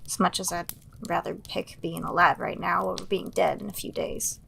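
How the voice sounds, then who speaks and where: A woman's voice: lowish voice, little pitch movement, moderate pace, vocal fry, pushed and bright, a little hiss or hum, exaggerated voice. A woman acting a weary, streetwise underworld survivor in a fantasy audio drama, reassuring and wry, with a casual, coaxing manner. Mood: matter-of-fact.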